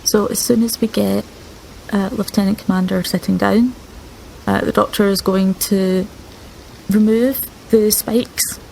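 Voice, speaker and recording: A woman's voice: medium pitch, fairly even intonation, measured pace, creaky, darkish tone, a little hiss or hum, low-quality recording. A woman taking part as a player in a tabletop game, thinking aloud with hesitations. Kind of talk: casual tabletop play.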